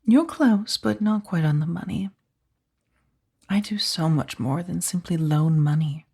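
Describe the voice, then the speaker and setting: A woman playing a powerful, condescending mafia boss in a soft-spoken ASMR roleplay, taunting with mock sweetness and a breathy, husky voice that dips toward whispers. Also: on the low side, measured pace. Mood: cool, menacing.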